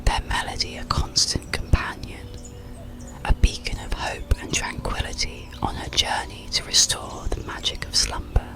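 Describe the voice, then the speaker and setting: A narrator reading a bedtime story in a breathy ASMR whisper, soothing, measured and unhurried. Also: low-quality recording. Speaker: audiobook narrator.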